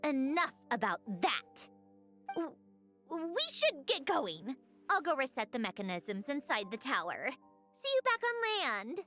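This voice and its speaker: A woman playing a haughty, prickly young woman in a video game, dry and sardonic, hiding a soft side. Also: high-pitched, very animated, measured pace, exaggerated voice. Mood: dry, aloof. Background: game music.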